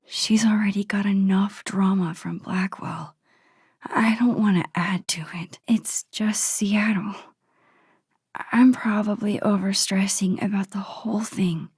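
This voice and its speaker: A woman playing a shy, sweet, nostalgic teenage girl in a video game, musing softly and breathily to herself. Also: mid-range voice, no room sound, studio quality. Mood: hesitant, worried. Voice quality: soft, breathy, hushed. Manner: thoughtful, murmured musing to herself. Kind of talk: introspective game character monologue.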